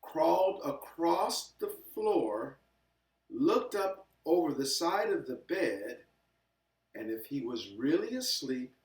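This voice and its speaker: A man hosting and telling a story in an earnest, deliberate way, with pauses and repeated phrases. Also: mid-range voice, husky. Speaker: host and storyteller. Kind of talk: earnest address and read-aloud storytelling. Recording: echoey, distant microphone.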